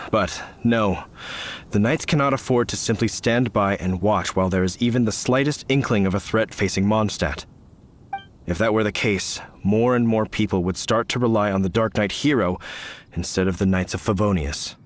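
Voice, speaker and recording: A man voicing a calm, calculating knight commander in a video game, measured and wry. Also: fairly low pitch, lightly acted. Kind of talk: video game cutscene dialogue.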